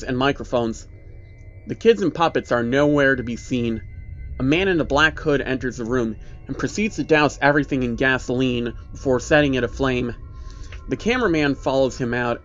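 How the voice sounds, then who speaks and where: Animated male horror-story narrator over music. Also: mid-range voice, dull audio. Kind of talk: creepy story narration, first person. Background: background music.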